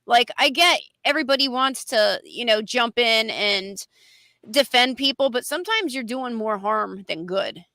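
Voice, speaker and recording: A woman's voice: fairly high pitch, lively intonation, measured pace, sharp tone, no echo. A woman in casual panel chat, talking bluntly and emphatically, slightly nasal from a cold coming on. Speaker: panelist on a livestream open panel.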